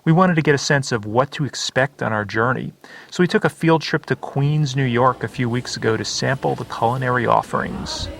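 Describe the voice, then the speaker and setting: Gravelly-voiced male podcast host. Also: medium pitch, a little fast, fairly bright tone. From United States. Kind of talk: scripted podcast narration mixed with casual chat.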